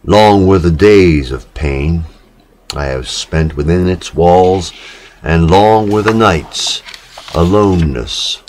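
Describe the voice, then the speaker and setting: A man giving a solemn literary reading, measured and dramatic, with pauses between short phrases. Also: low-pitched, a little mellow, slight background noise. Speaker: reader of poetic literature.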